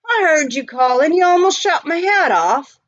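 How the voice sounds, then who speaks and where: A woman's voice: very high voice, lively intonation, moderate pace, a bit bright, no room sound, highs cut off, pitched above the performer's own voice. A woman reading a children's storybook, voicing a big reformed outlaw with a drawling, rustic, amused frontier way of talking. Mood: surprised, amused. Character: reformed outlaw, big man, folksy and teasing. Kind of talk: children's storybook read aloud.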